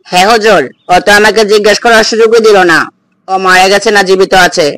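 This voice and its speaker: A man's voice: high voice, fairly even intonation, fast, bright, forceful tone. A man playing a cheeky male defendant who talks back in a comedy cartoon skit. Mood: cheeky, pleading.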